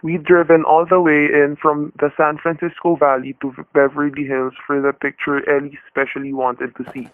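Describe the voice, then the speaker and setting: A man acting as a middle-aged everyman husband in a vintage radio thriller. His voice sits a little high for a man and he talks in plain, folksy, world-weary phrases. The recording is dry and narrow, like an old broadcast. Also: flat delivery, moderate pace, hardly any pauses, no room sound, close to an everyday voice. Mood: grim, reflective. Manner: folksy, colloquial. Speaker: narrator and lead character. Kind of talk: old-time radio suspense acting, first-person narration.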